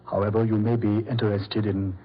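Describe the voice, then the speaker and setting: A male actor playing a courteous, sinister mad doctor in old radio horror, silky, condescending and measured. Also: fairly low pitch, level tone, close to an everyday voice. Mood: smugly courteous. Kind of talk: 1940s radio horror acting. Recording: dull, muffled old radio recording.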